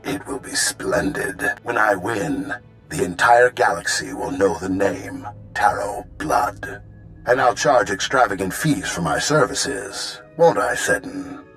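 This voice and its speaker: A man's voice: fairly low pitch, animated, slow, vocal fry, a bit bright, faint music behind, heavily acted. A man voicing a smug, gloating bounty hunter villain in a video game, theatrical and articulate.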